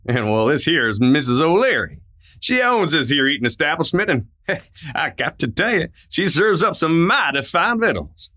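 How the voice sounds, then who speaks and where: A man's voice: a little high, big pitch swings, moderate pace, bright, forceful tone. A man playing a friendly, loyal Old West sheriff in a radio drama, with a folksy drawl full of frontier slang. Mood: hearty, friendly. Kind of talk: old-time western radio play acting.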